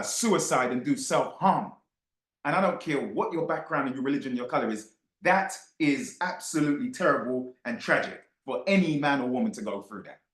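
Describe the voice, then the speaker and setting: A man giving impassioned off-the-cuff commentary to his followers, emphatic, repeating phrases and throwing rhetorical questions at listeners. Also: on the high side. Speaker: book club host. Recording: roomy, echoey sound.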